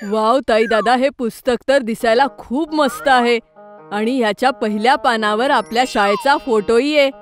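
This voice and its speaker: Young schoolboy, played by a woman, fairly high voice, excitable, curious, children's cartoon, faint music behind. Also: normal speed, close to an everyday voice. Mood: delighted. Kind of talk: children's cartoon story dialogue.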